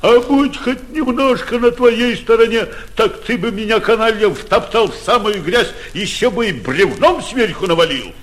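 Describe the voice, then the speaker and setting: An actor in a 1940s radio play, playing a gloating, vindictive town mayor with pompous, sarcastic, theatrical delivery. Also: male voice, high-pitched, expressive, normal speed, hardly any pauses. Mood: gloating, scolding.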